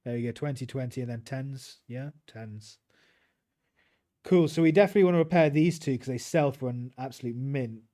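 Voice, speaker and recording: A man's voice: medium pitch, moderate pace, mellow. Easygoing British man streaming a game. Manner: easygoing, chats with viewers while playing. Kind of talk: relaxed gameplay livestream commentary.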